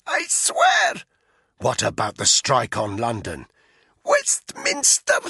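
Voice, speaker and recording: A terrified female informant croaks out her words through a rope around her throat, as a male narrator puts her on. Her voice is strained and breathless and climbs very high. She sounds pleading and panicked, and her voice is husky and dry. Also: very animated, a little slow, bright, forceful tone, dry recording, full character voice. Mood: desperate. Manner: breathless, pleading, rising to cries. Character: frightened female informant, choking under a rope.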